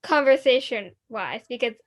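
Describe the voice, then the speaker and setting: A woman's voice: a little high, a little slow, dull audio. A teenage girl from Hong Kong co-hosting a student podcast, chatting casually with many restarts. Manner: rambling, many restarts and fillers. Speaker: podcast co-host.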